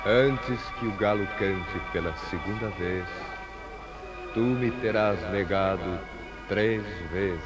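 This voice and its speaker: An actor playing a serene holy man, solemn, measured and prophetic, in a religious radio drama. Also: lowish voice, over loud music. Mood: grave, quiet.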